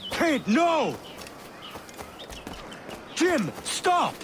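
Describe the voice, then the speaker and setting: An actor playing a cocky, roguish young pirate captain in a video game, wry and breezy. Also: male voice, very high-pitched, wildly swooping pitch, extremely slow, background noise, full character voice. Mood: pleading, exasperated.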